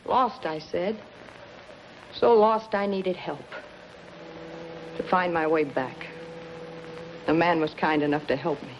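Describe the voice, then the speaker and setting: Low-voiced, hard-boiled noir dame. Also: female voice, slow, long pauses. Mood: bitter, weary. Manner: hard-boiled, clipped, dramatic. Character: bitter, scheming, world-weary gangster's woman. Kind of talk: 1950s noir film acting. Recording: dull, noisy 1950s film soundtrack.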